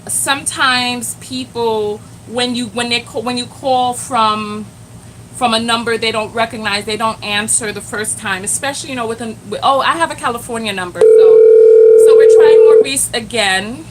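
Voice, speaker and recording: High-voiced woman hosting a live stream. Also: normal speed, slight background noise, low-quality recording. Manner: animated, addresses the chat directly, exclaims "Lord have mercy". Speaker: live-stream host and self-love coach. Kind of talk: casual live-stream chat with viewers.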